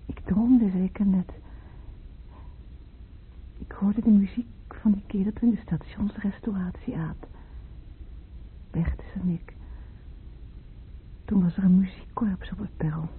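A woman's voice: mid-range voice, fairly even intonation, measured pace, pauses often, lightly acted. Phone-like old radio recording, faint noise, actress playing troubled woman patient, hesitant, guarded, polite. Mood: weary, wistful. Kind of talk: Dutch radio drama acting.

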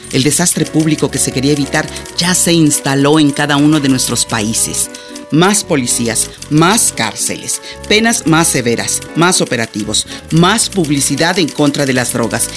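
A man acting in a radio play as an earnest lecturer, delivering a rhetorical monologue with emphatic, deliberate phrasing. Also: fairly high pitch, fairly bright tone. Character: earnest male lecturer arguing against drug prohibition.

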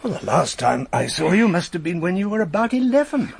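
An actor playing a genial, shrewd older English solicitor in a radio drama, measured and courteous in manner. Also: male voice, high voice, sing-song, gravelly, husky, heavily acted.

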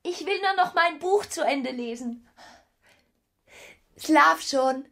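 A woman acting a passionate, anxious, yearning young wife in a radio drama, with an intense and breathy delivery. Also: sits high, expressive, slow pace, pushed and bright, exaggerated voice. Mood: impatient.

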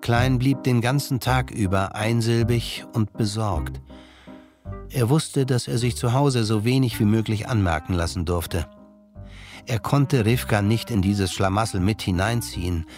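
A man narrating a scripted German radio drama in a measured, sober manner. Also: on the low side, a little mellow, faint music behind, natural voice.

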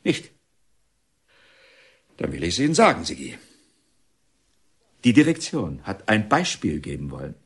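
A stern middle-aged director of a reformatory in a radio drama. His voice is husky and rises and falls widely as he speaks in formal, deliberate, self-important phrases. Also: sits low, huge pitch swings, heavily acted. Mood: probing, lecturing. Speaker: actor. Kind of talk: German radio drama acting.

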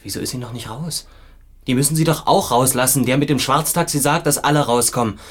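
Husky, agitated freed prisoner played by a man. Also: mid-range voice, fairly quick, lightly acted. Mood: anxious. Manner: restless, repetitive, erratic between outburst and mutter. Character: agitated, bitter, sardonic freed male political prisoner. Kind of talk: radio drama acting.

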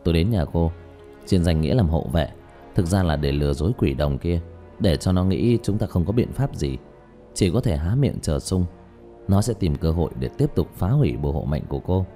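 A man playing a calm, matter-of-fact young male exorcist in an audiobook, speaking in a low-key, measured way. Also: faint music behind. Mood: calm, explaining. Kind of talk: audiobook character dialogue.

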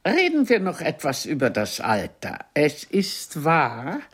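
An aging grande dame of the theatre in a radio play. Her delivery is unhurried and sweeps widely up and down. She is haughty and formal, with a sharp, mocking edge. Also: sits low, wildly swooping pitch, breathy edge, exaggerated voice. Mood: biting, self-mocking. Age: older. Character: imperious aging stage actress, sharp-tongued and mocking. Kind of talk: radio drama dialogue.

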